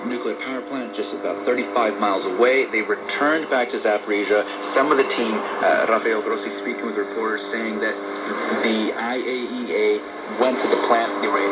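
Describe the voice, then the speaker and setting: Low-voiced male correspondent in heavy radio static. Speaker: news correspondent. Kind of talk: loosely scripted spoken news report. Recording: very noisy, dull shortwave radio broadcast.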